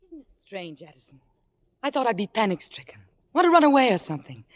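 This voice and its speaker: An actress playing an ambitious, scheming young stage star in an old radio drama, speaking theatrically with a poised surface. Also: female voice, on the high side, very animated, quick pace, long reverb tail. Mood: poised, eager. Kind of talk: 1950s radio drama acting.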